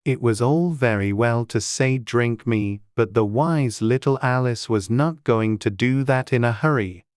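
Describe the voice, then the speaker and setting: A man's voice: mid-range voice, a little slow, hardly any pauses, no room sound, clean studio sound. A man reading scripted storybook narration as an audiobook narrator.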